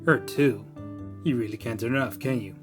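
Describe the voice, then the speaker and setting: A man playing a gruff, brusque Victorian police inspector in an audio drama, with music behind him. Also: medium pitch, moderate pace, natural voice. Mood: dry, measured. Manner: brusque, dismissive. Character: gruff, impatient, bigoted male Victorian London police inspector.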